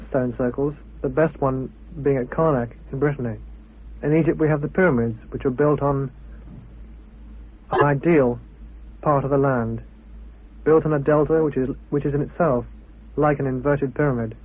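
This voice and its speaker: A man giving an expository talk as an amateur researcher, in deliberate, halting phrases. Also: fairly high pitch, husky, phone-quality sound. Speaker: sociologist and amateur antiquity researcher. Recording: thin, band-limited old radio recording.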